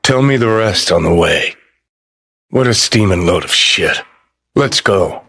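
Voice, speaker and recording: A man's voice: low-pitched, expressive, measured pace, vocal fry, a bit bright, breathy edge, heavily acted. A man acting a brash, cynical rebel rocker ghost in a video game, curt, sardonic and profane, with some noise behind him. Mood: curt, cool.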